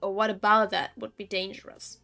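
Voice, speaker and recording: A woman giving casual gameplay commentary as a streamer, chatty and thinking aloud in halting bursts. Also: medium pitch, flat delivery, vocal fry, pushed and bright, faint music behind. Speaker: game streamer.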